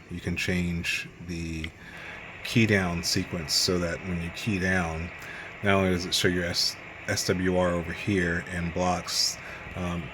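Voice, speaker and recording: Guy with a really deep voice rambling thru a gear review at his bench, noisy recording. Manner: rambling, unscripted, matter-of-fact. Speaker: amateur radio hobbyist reviewing gear. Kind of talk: casual hands-on product review. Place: radio bench, indoors.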